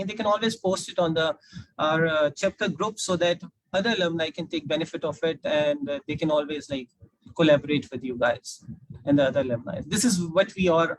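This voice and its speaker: A man's voice: fairly high pitch, no echo, rough recording. A man with a Pakistani accent moderating an online panel discussion.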